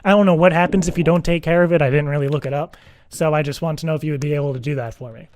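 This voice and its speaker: Man playing a higher-voiced, earnest, rambling dad. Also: a little mellow, dry recording, lightly acted. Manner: halting, repetitive, rambling, earnest. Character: earnest, anxious divorced dad seeking a teddy-bear sitter. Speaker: prank caller. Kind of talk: improvised prank phone call.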